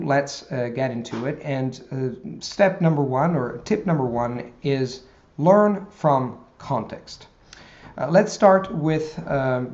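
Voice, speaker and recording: Man, mid pitch, video lesson to camera, measured explaining, slightly muffled sound. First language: Italian. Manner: talks straight to viewers, measured explaining with pauses. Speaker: language teacher.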